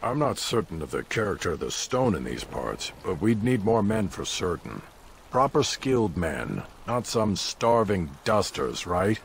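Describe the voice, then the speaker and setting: An actor voicing a proud, grumbling dwarf master stonemason in a video game. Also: male voice, on the low side, big pitch swings, a little slow, creaky, slight background noise, exaggerated voice. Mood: businesslike, confident. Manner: grumbling, disdainful, blunt.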